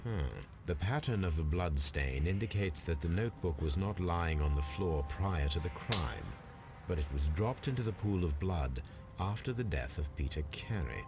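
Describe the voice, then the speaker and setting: An actor playing a calm, analytical gentleman detective in a video game, musing aloud in measured, precise deductions. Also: sits low, over loud music. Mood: pondering. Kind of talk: video game character voice acting, deliberate deductive monologue.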